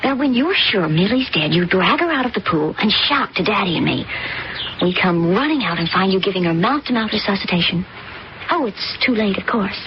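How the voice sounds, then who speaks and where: A woman's voice: mid-range voice, animated, fairly quick, slight background noise, natural voice. An actress playing a controlling young woman who plots a murder, in an old radio drama with dull lo-fi sound. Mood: scheming. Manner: cold, controlling, persuasive. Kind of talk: 1970s radio drama acting.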